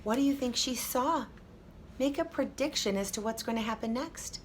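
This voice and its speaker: A woman teacher reading a picture book aloud to children, expressive and encouraging, pausing to talk to them directly. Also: on the high side, slight background noise.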